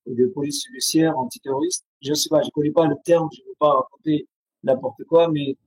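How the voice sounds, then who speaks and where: Man, Syrian accent, Arabic native speaker, hesitant, restarts often, dark husky voice, video call. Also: a little high, steady tone, no echo, rough recording. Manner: halting, with frequent pauses and restarts. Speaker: interview guest. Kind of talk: interview over a video call.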